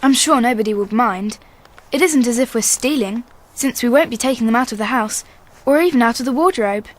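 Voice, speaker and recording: A woman's voice: on the high side, a little fast, natural voice. A woman playing a sensible, cautious schoolgirl older sister with a British accent in a radio drama. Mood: practical.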